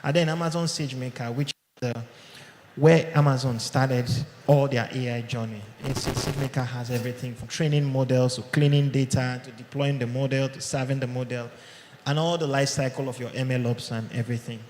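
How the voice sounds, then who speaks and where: A man's voice: medium pitch, fairly even intonation, brisk, darkish tone, slight background noise. A man giving a conversational live tech talk to an audience, often checking in with "okay?", recorded on a mic in a large hall. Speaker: tech conference speaker. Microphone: handheld or podium mic. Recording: echoey hall with long reverb.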